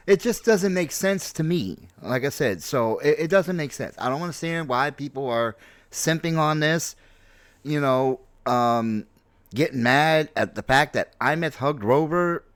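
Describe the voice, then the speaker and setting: A man in his late forties giving casual, unscripted opinion commentary on a game, halting but emphatic, repeating phrases for stress. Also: fairly high pitch, animated, a bit bright, dry recording. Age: late 40s. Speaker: gaming video host and streamer.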